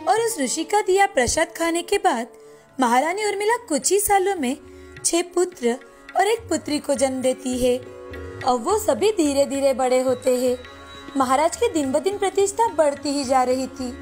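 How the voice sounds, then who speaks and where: A woman's voice: high voice, darkish tone. A woman narrator reading a scripted folktale. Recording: music bed behind the voice.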